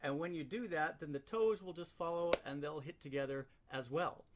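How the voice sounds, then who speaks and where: A man's voice: a little high, normal speed, heavy background noise. A man giving an instructional demonstration as a sound effects teacher, explaining things step by step and repeating key points.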